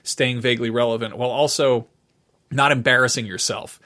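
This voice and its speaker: A middle-aged man speaks at an average pitch as a podcast co-host. His delivery is informal and unscripted. He has a wry, irreverent way of putting things in loose conversation. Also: steady tone, brisk, pushed and bright. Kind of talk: casual, unscripted chat.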